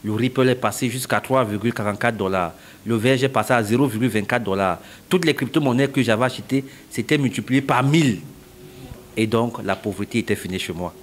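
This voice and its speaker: A man's voice: medium pitch, fast, a little hiss or hum. A middle-aged man from Cameroon answering interview questions, explaining step by step and repeating phrases for emphasis. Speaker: interviewee, company founder.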